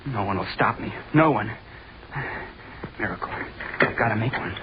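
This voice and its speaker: An actor playing a young, obsessive, unhinged college student scientist in vintage radio horror, muttering and repeating himself feverishly. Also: male voice, fairly low pitch, animated, normal speed, lightly acted. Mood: obsessed. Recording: dull, noisy vintage radio recording.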